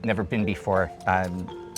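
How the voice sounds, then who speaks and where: Chatty man hosting a food show, low voice. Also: flat delivery, a little slow, music in the background. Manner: chatty, enthusiastic, explains dishes while eating. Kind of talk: casual food chat and explainer. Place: open-air food stall under a thatched roof. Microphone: lapel mic.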